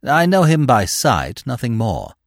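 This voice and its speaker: A man voicing a polite, unruffled, urbane gentleman in a comic audiobook, formal and understated in his wit. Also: mid-range voice, wildly swooping pitch, vocal fry, dry recording, studio quality, heavily acted. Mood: affable. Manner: courteous, measured, understated.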